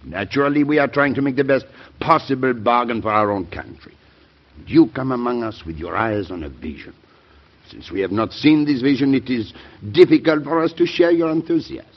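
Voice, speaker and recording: A man's voice: mid-range voice, normal speed, close to an everyday voice. An actor playing a shrewd, weary, cynical French statesman in a classic radio drama, formal and courtly in his delivery. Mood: wry, skeptical. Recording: dull, band-limited old radio recording.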